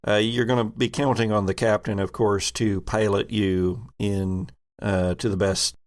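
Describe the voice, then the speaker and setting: A man with a lowish voice serves as game master of a tabletop game. He improvises his narration and explanations, stopping often mid-phrase as he picks his words. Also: level tone, a little slow.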